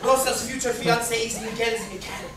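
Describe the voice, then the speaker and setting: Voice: mid-range voice, steady tone, brisk, close to an everyday voice. An amateur actor playing a passionate, indignant romance writer in a stage play, theatrical and florid, mixing in Spanish words. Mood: romantic, dramatic. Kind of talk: amateur dramatic reading of a play. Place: echoey room, far from the mic. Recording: very echoey, distant, noisy room recording.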